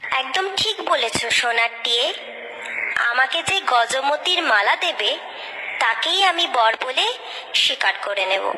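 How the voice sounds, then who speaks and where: A woman's voice: sits high, expressive, slow. A woman voicing a sweet, agreeable young princess in a cartoon, with a declamatory delivery. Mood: pleased, agreeing. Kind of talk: cartoon story dialogue. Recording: reverberant hall, slight background noise.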